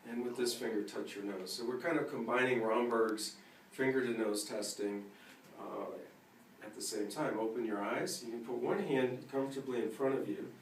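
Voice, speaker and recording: A man's voice: mid-range voice, moderate pace. A man demonstrating a neurological exam as a clinician, giving a patient instructions and explaining in a matter-of-fact clinical manner. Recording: roomy, distant mic with faint noise.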